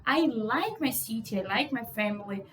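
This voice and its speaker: A young Brazilian woman speaks English with a Portuguese accent in a high, bright register. She talks straight to camera in a loose, outspoken way, swearing for emphasis and tagging her sentences with "you know". A faint hum runs under her voice. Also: animated, normal speed. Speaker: vlogger. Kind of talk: casual monologue to camera.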